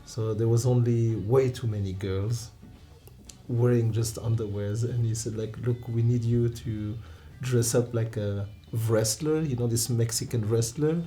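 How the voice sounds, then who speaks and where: A man in his thirties with a Romanian accent, a non-native English speaker, telling stories from his own life in an interview, halting and restarting often and laughing along. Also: medium pitch, steady tone, warm tone. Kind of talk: relaxed personal storytelling.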